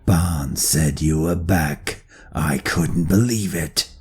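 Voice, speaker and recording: An actor playing a cruel, scarred mage hunter in an audio drama, with a raspy voice and a contemptuous, menacing manner. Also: male voice, a bass voice, slow pace, heavily acted. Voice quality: gravelly, raspy.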